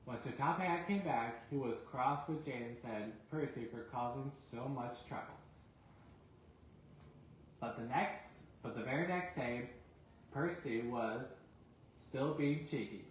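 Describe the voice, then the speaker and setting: A man's voice: medium pitch, slow. A man reading a children's bedtime story aloud as the storyteller. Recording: very echoey room, faint noise.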